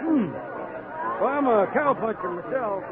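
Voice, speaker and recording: A man's voice: extremely high pitch, expressive, unhurried. A man playing a rowdy, friendly, talkative cowpuncher in an old radio western, with a folksy, drawling American Western accent. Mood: chatty, friendly. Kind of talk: 1950s radio western character acting. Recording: narrow vintage radio sound, saloon crowd.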